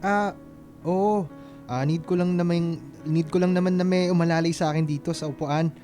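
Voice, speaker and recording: An actor playing a gentle, friendly young pediatrician with a Filipino accent in a radio drama. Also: male voice, fairly high pitch, fairly even intonation, normal speed, faint music behind, lightly acted. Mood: polite, earnest.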